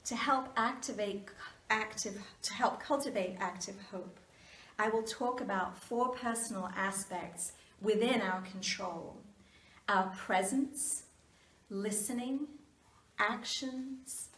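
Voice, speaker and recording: A woman delivering an inspirational, sermon-like talk with deliberate, measured phrasing and pauses. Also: mid-range voice, fairly bright tone. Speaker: speaker giving a talk to a congregation. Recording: echoey room, distant mic, faint background noise.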